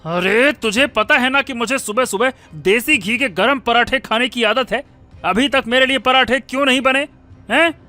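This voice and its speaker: A man's voice: very high-pitched, moderate pace, pushed and bright, faint music behind, exaggerated voice. A man playing an arrogant, bullying young landlord's son in a cartoon moral story, with a haughty, scornful, demanding manner. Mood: angry, demanding.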